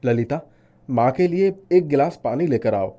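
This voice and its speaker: A man voicing a devout, worried shopkeeper and devoted son in a story, speaking earnestly and gently. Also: mid-range voice, expressive, moderate pace, dull audio, natural voice. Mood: gentle, caring. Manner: earnest, soft-hearted. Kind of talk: animated moral story dialogue.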